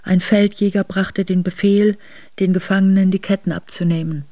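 A woman's voice: mid-range voice, fairly even intonation, normal speed, dark tone, no room sound, natural voice. A woman playing a noblewoman who soberly recounts sorrowful memories of exile in a radio drama. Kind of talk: radio drama memoir narration.

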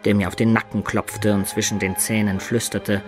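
A male actor in a radio drama playing an earnest, lovestruck adventurer of peasant birth, telling of his youth in measured, lyrical words. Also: on the low side, little pitch movement, soft background music, lightly acted. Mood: wistful, reminiscing. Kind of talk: dramatic first-person narration in radio play.

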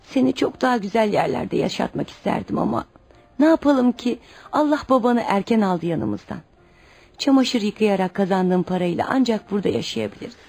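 A woman's voice: mid-range voice, brisk, creaky, darkish tone, soft background music. An actress in a radio play playing a poor, devout widowed mother, protective and anxious, who speaks in an earnest, instructive way. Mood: worried, resigned. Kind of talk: radio theater acting.

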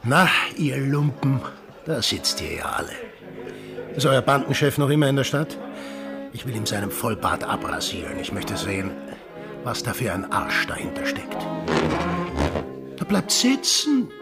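A bitter, mocking sailor, swooping delivery. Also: male voice, mid-range voice, very animated, a little slow, vocal fry, pauses often, music in the background. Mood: mocking, defiant. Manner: sardonic, provocative, emphatic. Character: bitter, mocking sailor confronting village men over buried dead. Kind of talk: radio drama acting.

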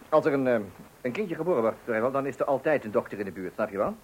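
A man's voice: mid-range voice, lively intonation, a little fast, slight background noise, close to an everyday voice, rough recording. Actor as a flustered father, voice swinging widely. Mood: flustered, evasive. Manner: hesitant, stammering, exasperated. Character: flustered, weary father awaiting a birth at night. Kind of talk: radio play acting.

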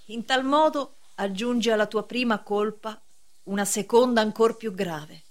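An actress playing a solemn, severe preacher in a radio play, speaking in a measured, declamatory way. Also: female voice, mid-range voice, natural voice. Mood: grave, reproving. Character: solemn, severe female preacher, cryptic gatekeeper of justice. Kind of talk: theatrical radio drama acting.

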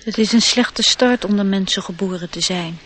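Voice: mid-range voice, normal speed, a bit bright, slight background noise, close to an everyday voice, poor audio. A performer voicing an airy, knowing nature sprite in a radio play, lecturing with disdain for humans. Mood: scornful.

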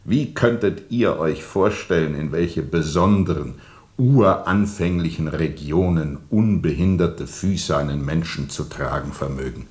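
An actor playing a haunted steamer captain who tells of a dark journey in a brooding, insistent radio play monologue. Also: male voice, very deep voice, a little slow. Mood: bitter, intense. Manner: brooding, insistent, dramatic repetition.

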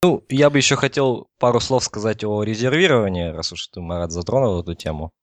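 Low-pitched man explaining in dry sound. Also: fairly quick, dry recording. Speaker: podcast guest explaining telecom technology. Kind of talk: informal technical discussion.

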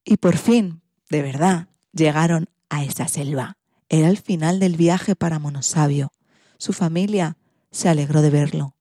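A woman storyteller of narrates for children. Her voice is husky and dark, fairly low, recorded close and dry. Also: a little slow, no echo. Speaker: storyteller and presenter.

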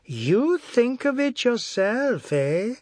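A man's voice: a little high, lively intonation, a little slow, no room sound, clean studio sound. A man voicing an irritable, mocking husband with a Caribbean accent in a narrated story. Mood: defiant. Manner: indignant, mocking, muttering at times. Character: irritable, jocularly defiant husband, grumbling and provocative.

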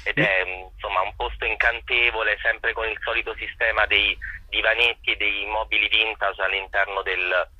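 A man's voice: lowish voice, flat delivery, normal speed, no echo. A man giving an informative interview as a guest over a dull telephone line. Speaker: interview guest, festival artistic consultant.

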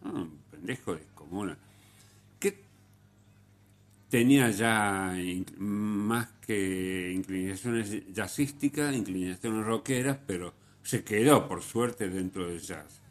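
A man hosting a radio music program, telling anecdotes in an unhurried, halting way with frequent restarts. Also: fairly low pitch, expressive. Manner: unhurried storyteller, pauses and restarts, swings in loudness. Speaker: radio host.

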